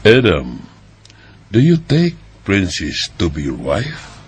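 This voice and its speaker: Slow, deep-voiced solemn wedding priest. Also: male voice, wildly swooping pitch, soft background music, full character voice. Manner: slow, ceremonial, measured delivery. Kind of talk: Filipino radio drama acting.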